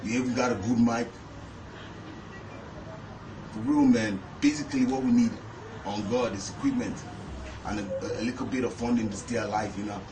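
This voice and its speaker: A man's voice: mid-range voice, steady tone, normal speed, pauses often. A man answering an interview question casually, with slangy phrasing. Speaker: artist contributor. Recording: echoey room, distant mic, noisy.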